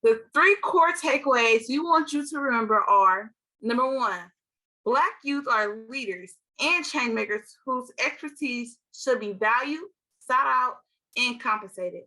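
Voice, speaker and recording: A teenage girl from Milwaukee giving an energetic, scripted youth-leader talk. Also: fairly high pitch, unhurried, poor audio. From Milwaukee, Wisconsin. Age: teens. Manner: energetic, upbeat.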